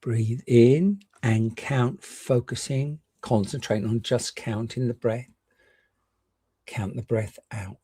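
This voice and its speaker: A man gently and reassuringly guiding a meditation, leaving unhurried pauses between short phrases. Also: mid-range voice, husky, dry recording. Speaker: meditation guide. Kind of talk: guided meditation.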